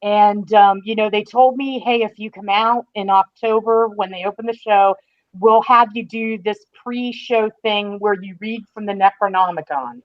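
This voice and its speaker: Woman, mid pitch, podcast guest, casual and informative, dull lo-fi call sound. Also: moderate pace. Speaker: podcast guest, fan-site writer. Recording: slightly dull online-call audio.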